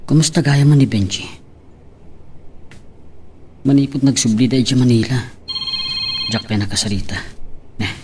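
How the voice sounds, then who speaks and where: A man's voice: medium pitch, normal speed, long pauses, darkish tone, lightly acted. A man acting a flustered, deferential office manager in an Ilocano radio drama. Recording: faint background noise.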